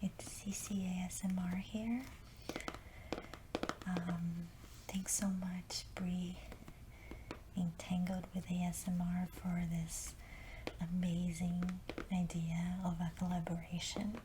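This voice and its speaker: A woman whispering softly in an ASMR video, telling a story with frequent ums. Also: on the low side, level tone, takes it slowly, noisy background. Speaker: ASMR creator. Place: Los Angeles.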